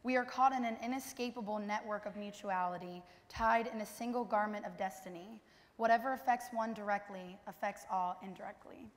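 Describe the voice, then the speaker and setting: A woman's voice: mid-range voice, brisk. A young woman giving a solemn, oratorical readers theater recitation as a student performer. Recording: reverberant auditorium.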